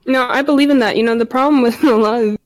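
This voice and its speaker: A woman's voice: on the high side, quick pace. A young woman chatting frankly on a podcast, full of fillers and laughs. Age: young adult. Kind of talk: casual podcast chat.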